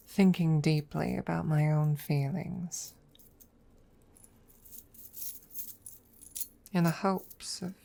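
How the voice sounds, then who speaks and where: Casting: a woman as a guarded, haughty female dragon for ASMR roleplay; gravelly low register, formal deliberate phrasing, breathy and near-whispered. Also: fairly even intonation, mellow. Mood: guarded, reflective. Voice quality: breathy close murmur, often dropping to a whisper. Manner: formal, deliberate, hesitant, with long pauses. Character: proud, guarded female dragon secretly fond of her rival. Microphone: close microphone.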